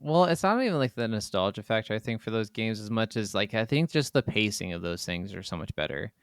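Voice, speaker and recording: Smooth-voiced young man, podcast co-host. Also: lowish voice, expressive, a little fast, dry recording, clean studio sound. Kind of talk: casual gaming podcast chat.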